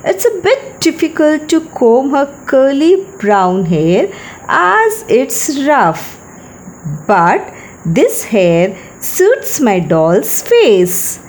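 A woman voicing a sweet, playful younger sister in a children's story, a young girl doting on her doll, with a drawn-out sing-song delivery. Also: high-pitched, big pitch swings, a little mellow, slight background noise, full character voice.

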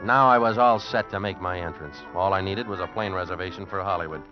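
An actor playing a tough American drifter, terse and hard-boiled, in a 1940s radio drama. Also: male voice, low-pitched, quick pace, natural voice. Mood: grim, self-satisfied. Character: tough, broke American drifter out to get even. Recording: muffled old radio recording, music behind.

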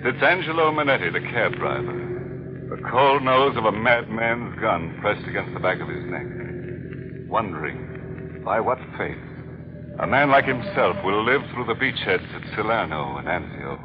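An actor playing a mock-courteous, darkly teasing horror host on a 1940s radio mystery, theatrical and morbid. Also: male voice, fairly low pitch, animated, normal speed, background noise, close to an everyday voice. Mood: ominous. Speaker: host and narrator. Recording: dull old radio broadcast with music.

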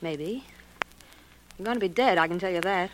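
An actress in a 1940s radio drama playing a jealous wife who makes cool, deliberate threats. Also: female voice, lowish voice, lightly acted. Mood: menacing. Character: jealous, menacing wife holding a gun. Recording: noisy old 1940s radio recording.

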